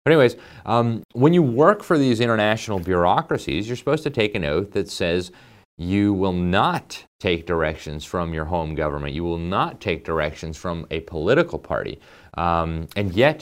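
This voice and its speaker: A man hosting a political commentary show, talking emphatically and conversationally, with fillers and asides. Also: low voice. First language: English. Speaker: host.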